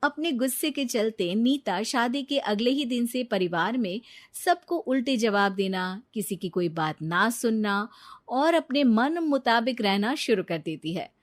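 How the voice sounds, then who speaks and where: A woman's voice: fairly high pitch, expressive, a little slow. A woman narrating a scripted moral story.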